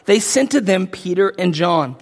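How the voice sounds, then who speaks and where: A man preaching a verse-by-verse sermon, his voice a little lower from illness, earnest with frequent restarts and rising emphasis. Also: lively intonation, moderate pace, big-room sound. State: sick, voice deeper than usual. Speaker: pastor.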